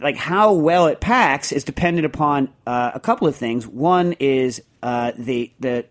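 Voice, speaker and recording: A middle-aged man hosts a podcast in a loose, rambling way. He piles on fillers and restarts as he works through technical points step by step. His pitch sits in an ordinary middle range. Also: lively intonation, a little slow. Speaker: podcast host. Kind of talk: casual chat and technical explanation.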